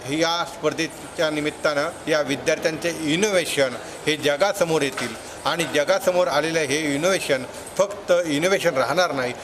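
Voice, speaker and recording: Man, formal statement, higher-than-average pitch, clear background noise. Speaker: foundation president giving a statement. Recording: clear outdoor ambient and traffic noise.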